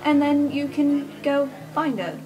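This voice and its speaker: Casting: giggly woman gamer, high-pitched and unhurried, casual improvised roleplay. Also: music in the background, low-quality recording. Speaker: player on a roleplay podcast.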